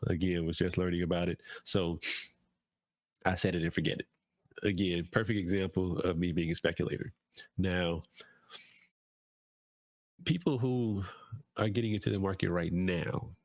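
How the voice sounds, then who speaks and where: Host, male, stuffed-up nasal voice, very low and gravelly, dry close sound, loose rambling delivery. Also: darkish tone, dry recording. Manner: rambling, many fillers and restarts. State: congested, nasal from a cold. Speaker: podcast host. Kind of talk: casual solo talk.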